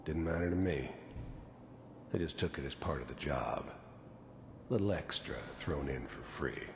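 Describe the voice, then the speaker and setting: An actor playing a weary, guilt-ridden hardened killer in a video game, gravelly, brooding and matter-of-fact as he reminisces. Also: rumbling low, lively intonation, moderate pace, heavily acted. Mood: cold, matter-of-fact. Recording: echoey, noisy game audio.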